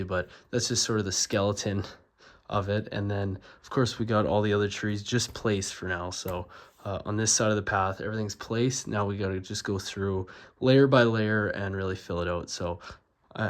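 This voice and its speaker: A young man with a stuffed-up, congested voice, casually narrating his LEGO building progress in a relaxed, rambling way. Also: low-pitched. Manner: relaxed and rambling, frequent "obviously" and "uh". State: congested, stuffed-up nose from a cold. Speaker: LEGO builder and channel host.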